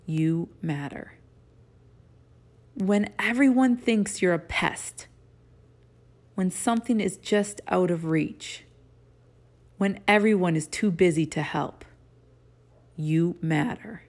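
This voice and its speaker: A woman's voice: on the low side, slow pace, long pauses, a little mellow. A middle-aged woman giving a children's read-aloud and casual instruction, speaking to young listeners in simple, encouraging phrases. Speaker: teacher reading a picture book aloud to children.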